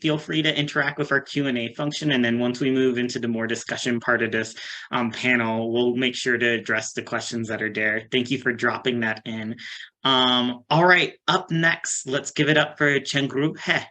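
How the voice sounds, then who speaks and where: A man moderating an online panel, friendly and slightly halting, with frequent fillers. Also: mid-range voice, sharp tone. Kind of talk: online event hosting.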